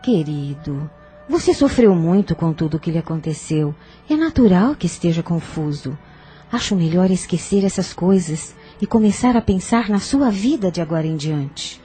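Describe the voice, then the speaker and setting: A woman's voice: on the low side, lively intonation, moderate pace, warm tone, faint music behind, natural voice. A woman playing a proper, dismissive sister of a grieving man in an audio drama. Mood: soothing.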